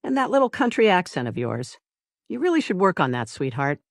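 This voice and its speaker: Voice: mid-range voice, big pitch swings, fairly quick, vocal fry, no room sound, clean studio sound. A narrator voicing a snobbish, condescending older mother-in-law in an audiobook, sugary and belittling.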